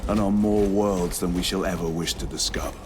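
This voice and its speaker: A man's voice: deep voice, steady tone, a little fast, noisy background, natural voice. An actor playing a grim, resolute male space marine who speaks in a stern, commanding way. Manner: stern, commanding soldier's delivery. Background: loud game score.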